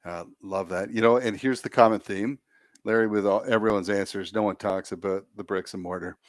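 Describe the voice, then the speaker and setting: A man's voice: fairly low pitch, fairly even intonation, fairly quick, dry recording, clean studio sound. A man hosting a podcast, introducing and questioning his guest in an upbeat, encouraging way. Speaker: podcast host. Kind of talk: friendly interview hosting.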